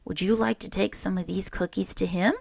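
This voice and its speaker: A woman voicing a kindly mother turtle in a storybook, encouraging and gently firm. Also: mid-range voice, steady tone, brisk, creaky, slightly rough, no echo, clean studio sound.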